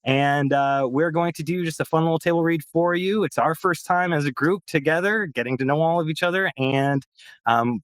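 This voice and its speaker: A man with a somewhat high voice hosts a table read as its writer. He talks informally and in a friendly way, recorded dry without room sound. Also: no room sound. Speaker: writer and host. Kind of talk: casual chat introducing a table read.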